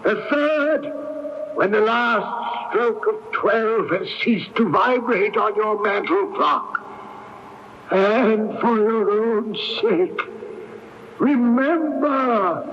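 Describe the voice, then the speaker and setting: Slow, high-pitched, mournful male ghost. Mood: solemn, haunting. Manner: slow, portentous, drawn-out delivery. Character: mournful ghost of a dead man, solemn warner. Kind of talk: radio drama acting. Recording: dull, hissy old AM radio tape.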